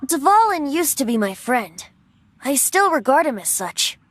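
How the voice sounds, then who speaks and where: A woman voicing a youthful male wandering bard in an anime-style game, gentle, teasing and theatrical. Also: sits high, big pitch swings, unhurried, slight background noise. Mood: playful.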